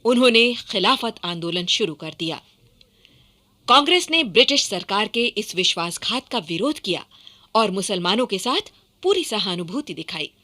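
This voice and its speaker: A woman narrating a formal, scripted radio documentary in an earnest, clearly enunciated way. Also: mid-range voice, expressive, moderate pace, bright, forceful tone.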